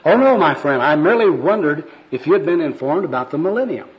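Man playing fervent old evangelist, high pitch. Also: expressive, brisk, natural voice. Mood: earnest. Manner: genteel, pompous, fervent. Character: kindly, earnest old evangelist spouting church jargon. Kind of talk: amateur skit read aloud. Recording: dull, lo-fi recording.

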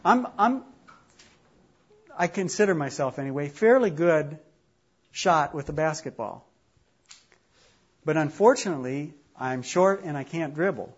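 A man's voice: fairly high pitch, animated, unhurried, long pauses, husky, lo-fi sound. A man from Minnesota with a Minnesota accent, leading an informal class discussion in a chatty, self-deprecating way. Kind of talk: informal group teaching.

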